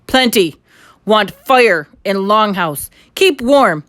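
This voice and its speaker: A woman's voice: medium pitch, lively intonation, slow, fairly bright tone, dry recording, clean studio sound. A woman voicing a small proud warrior man who speaks in blunt, clipped broken sentences. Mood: demanding.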